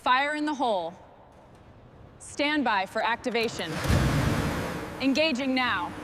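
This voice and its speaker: A woman's voice: fairly high pitch, lively intonation, slow pace, heavy background noise. A woman speaking short, scripted remarks and commands as a machine restorer at work.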